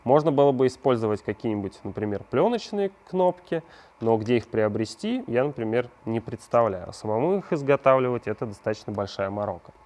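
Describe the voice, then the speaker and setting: A man's voice: medium pitch, expressive, brisk, darkish tone. A man hosting a craft channel, explaining a prop build step by step in a conversational way and posing questions to the viewer. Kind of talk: hands-on prop-making tutorial narration.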